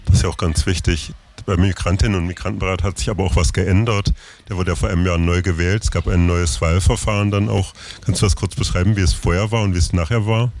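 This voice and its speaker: A man's voice: sits low, fairly even intonation, quick pace, a little mellow, a little hiss or hum. A man presenting and interviewing on the radio in an informal style, with loose, conversational phrasing.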